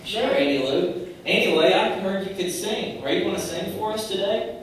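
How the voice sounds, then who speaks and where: A man's voice: high voice, moderate pace, close to an everyday voice. Guy doing a polite folksy cop puppet, dry straight man, distant echoey noisy sound. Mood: genial. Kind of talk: children's church puppet show.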